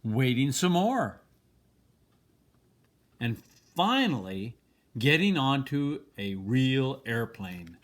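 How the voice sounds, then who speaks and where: Slow, expressively swooping man reading a storybook. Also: medium pitch. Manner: deliberate, expressive storytelling with dramatic pauses. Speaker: storybook reader. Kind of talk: children's picture book read-aloud.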